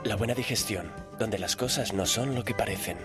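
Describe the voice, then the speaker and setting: Low-voiced man from Spanish radio, music behind. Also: normal speed. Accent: Spain. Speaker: radio show host. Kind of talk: humorous radio banter and promo.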